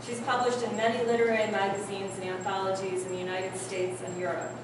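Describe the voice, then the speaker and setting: A woman's voice: medium pitch, flat delivery, fairly quick. A woman hosting a poetry event, reading an upbeat introduction of the next reader. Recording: echoey room from a distance, loud background noise.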